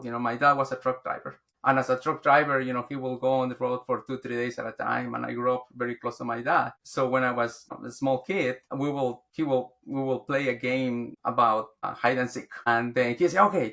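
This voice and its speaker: A man's voice: mid-range voice, bright, forceful tone. A middle-aged man, a podcast guest speaking earnestly and often restarting his words. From Guatemala. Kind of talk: reflective interview talk.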